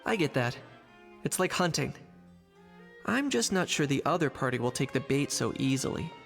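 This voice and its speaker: A man's voice: fairly high pitch, big pitch swings, moderate pace, creaky, a bit bright, exaggerated voice. A man voicing a straightforward, thoughtful hunter ally in a video game, plain-spoken and earnest. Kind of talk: animated video game voice acting. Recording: long reverb, faint music.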